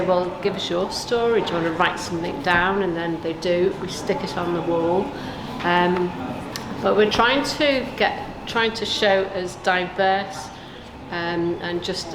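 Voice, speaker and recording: A woman's voice: lowish voice, measured pace. A woman artist informally explaining her project in an interview, chatty, hesitant and rambling. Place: indoor gallery unit in a shopping centre. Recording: echoey room with clear background noise.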